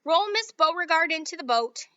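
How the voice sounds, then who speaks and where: An eccentric male inventor speaks mildly with sighs, in a bright, lively voice, as a woman reader puts him on. The recording is dry and a little muffled. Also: very high-pitched, moderate pace, dry recording, voice pushed up, exaggerated voice. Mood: brisk. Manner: sighing, mild and matter-of-fact.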